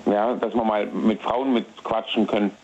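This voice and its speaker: Halting man in his thirties on a muffled phone line. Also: on the low side, fairly even intonation, darkish tone. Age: 30s. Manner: halting, repeats words, simple phrasing. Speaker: caller. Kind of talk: phone call-in to radio.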